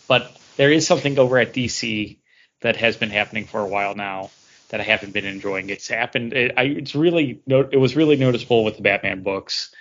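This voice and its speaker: A man's voice: mid-range voice, fairly bright tone. Man chatting on dull, lo-fi audio. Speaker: podcast co-host. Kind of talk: casual chat about comic books.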